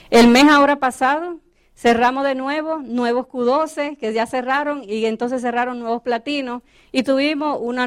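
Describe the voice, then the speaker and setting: A woman's voice: sits high, no echo. Upbeat woman coaching a sales group. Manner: coaching, asks the audience questions. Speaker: business trainer. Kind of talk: motivational training talk to a group.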